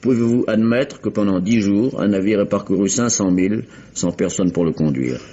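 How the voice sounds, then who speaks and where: An actor playing an imperious, insistent public prosecutor with formal, pointed questioning in a vintage French radio mystery. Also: male voice, lowish voice, moderate pace, dark tone, slightly rough. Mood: pressing, assured. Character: imperious, insistent English male public prosecutor interrogating witnesses. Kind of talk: 1950s-style French radio mystery acting. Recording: dull, muffled vintage radio recording.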